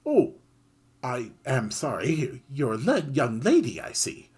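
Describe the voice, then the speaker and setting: Player doing a squeaky polite mouse creature, whiny and begging, husky edge. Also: wildly swooping pitch, takes it slowly, a little mellow, full character voice. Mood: pleading. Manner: overly polite, whiny and pleading. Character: sly pleading mouse, secretly a griffin.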